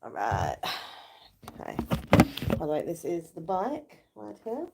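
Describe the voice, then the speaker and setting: A woman with a slightly croaky voice, chatting casually to livestream viewers and greeting them as she gets onto an exercise bike at home. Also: lowish voice, wildly swooping pitch, a little slow, very noisy. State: a bit croaky. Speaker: livestream host.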